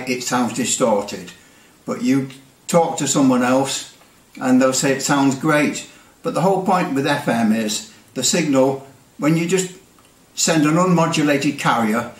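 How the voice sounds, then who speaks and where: An older man in his seventies with a British accent, casually explaining technical things to camera and sometimes repeating his words. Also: medium pitch. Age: older, around 70s. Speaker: presenter of a CB radio channel. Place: small indoor workshop with bare brick walls. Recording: roomy echo, distant microphone.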